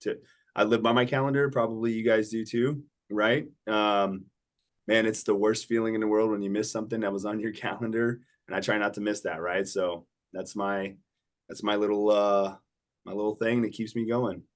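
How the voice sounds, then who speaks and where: A man in his forties, a guest entrepreneur on a podcast, talking in a steady, self-assured way and often ending with tag questions. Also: on the low side, normal speed. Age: 40s. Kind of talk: casual interview talk.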